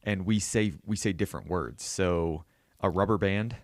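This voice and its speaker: A man's voice: sits low, moderate pace, no echo, clean studio sound. A man from southwestern Pennsylvania with a western Pennsylvania accent, hosting a casual interview in a friendly, chatty way. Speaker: podcast host.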